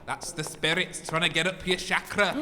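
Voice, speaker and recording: A man's voice: high voice, expressive, background noise. A man playing a theatrical, dodgy ghost-tour medium in a comedy audio drama, portentous and easily flustered. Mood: mysterious. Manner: melodramatic, portentous, drawn-out. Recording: long hall reverb.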